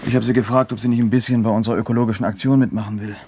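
Actor as an irritable, scornful activist, mid-pitched. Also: male voice, steady tone, very fast, noisy background, lightly acted. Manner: argumentative, scornful. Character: irritable, disillusioned eco-activist living in cramped cabin. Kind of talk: 1970s radio drama dialogue.

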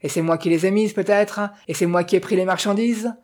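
A reader voicing a huge grizzled brute of a coachman in an audiobook novel, gruff, growling and bellowing, with a violent temper. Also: mid-range voice, steady tone, a little fast, darkish tone, lightly acted. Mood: furious, defiant.

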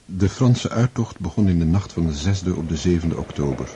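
An actor as the solemn narrator of a literary war epic in a radio drama, telling it in a measured way. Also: male voice, sits low, expressive, dark tone, a little hiss or hum. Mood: grave, measured.

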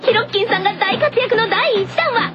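A woman's voice: extremely high pitch, fairly quick. A woman radio personality delivering an upbeat promotional announcement. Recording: dull lo-fi audio under loud music.

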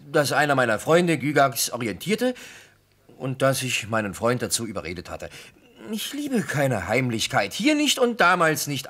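A man's voice: mid-range voice, fairly bright tone, exaggerated voice. An actor playing a naive, boastful travelling salesman in a radio play, a man who talks eagerly and with self-importance. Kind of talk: German radio play acting.

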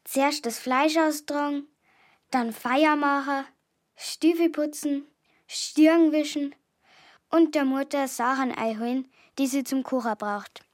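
A woman's voice: high-pitched, takes it slowly. A woman playing an earnest, hard-working young girl in a radio play. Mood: dutiful, weary. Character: hard-working Bavarian village girl, earnest and dutiful child.

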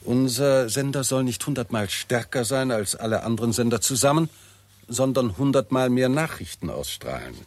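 An actor playing a confident, shrewd professor of astronomy, explaining precisely in a 1960s German radio drama style. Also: male voice, medium pitch, lively intonation, moderate pace, no room sound, natural voice. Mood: lecturing. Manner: didactic, precise, self-assured.

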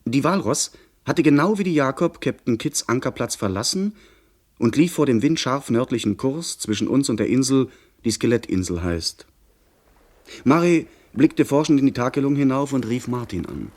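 An actor playing a young gentleman who narrates his sea adventure in a literary radio play style. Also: mid-range voice, expressive, normal speed, lightly acted. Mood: narrating.

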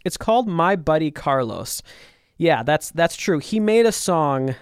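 A man's voice: fairly high pitch, sing-song, a little slow, smooth, no echo, studio quality. A man co-hosting a casual chat about music, enthusiastic and laughing often. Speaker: co-host.

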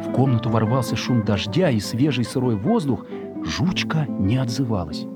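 A man's voice: mid-range voice, expressive, normal speed, over loud music. A man reading a literary story as a narrator, expressively and with emotion, in a scripted dramatic style.

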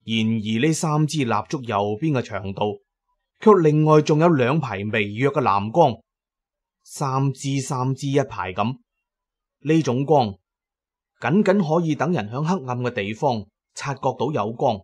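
A man's voice: mid-range voice, expressive, unhurried. A man giving dramatic first-person narration as the narrator of an audio drama.

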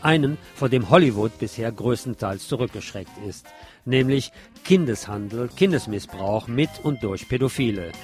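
A man's voice: medium pitch, animated, moderate pace, over music. A man reading scripted film commentary as host of an alternative radio programme.

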